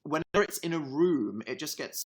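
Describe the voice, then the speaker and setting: A man's voice: a little high, lively intonation, moderate pace. Dry, teasing man chatting. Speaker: co-host. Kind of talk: casual livestream chat.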